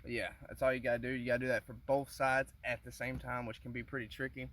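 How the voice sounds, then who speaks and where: A man giving a casual hands-on how-to, informal and halting as he thinks aloud while working, recorded on a handheld phone inside a car. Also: mid-range voice, flat delivery, a bit bright. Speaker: car DIY demonstrator. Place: inside a car, close quarters.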